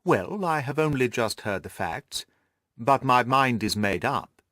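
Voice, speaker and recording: Man voicing a wry, animated confident detective. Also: medium pitch, a little slow, dry recording, studio quality. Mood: amused, teasing. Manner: wry, precise, self-assured. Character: confident, amused, teasing male consulting detective. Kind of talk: audiobook character dialogue.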